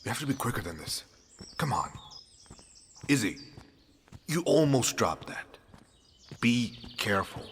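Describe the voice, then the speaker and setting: A man's voice: very deep voice, normal speed, fairly bright tone, a little hiss or hum. A man playing a stern, impatient manager in a crime ring, with a clipped, exacting delivery, in an audio drama. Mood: exasperated. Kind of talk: scripted audio roleplay acting.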